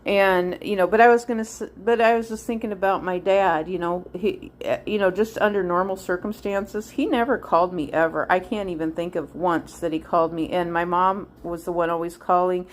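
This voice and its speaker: A woman in her sixties talking in a halting, meandering way, thinking aloud as she reminisces in a personal vlog. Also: on the low side, fairly even intonation, slight background noise. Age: 60s. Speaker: vlogger. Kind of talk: rambling personal reminiscence monologue.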